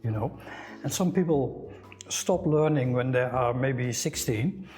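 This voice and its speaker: An older Dutch man talks over a meal as a cooking show guest, thinking aloud and restarting his phrases. Soft music plays behind him. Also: medium pitch, unhurried. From Netherlands. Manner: thoughtful, many restarts and fillers. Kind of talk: casual conversation.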